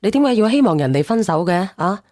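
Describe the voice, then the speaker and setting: Quick-talking woman host, dry sound. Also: mid-range voice, big pitch swings, dark tone, no echo. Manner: questioning, interjecting. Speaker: call-in show host. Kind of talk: casual live call-in chat.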